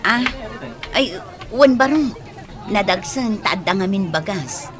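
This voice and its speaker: A woman playing an older, motherly but sharp-tongued villager in a radio drama, with an Ilocano accent. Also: mid-range voice, animated, slow, a crowd in the background. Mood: weary, grateful.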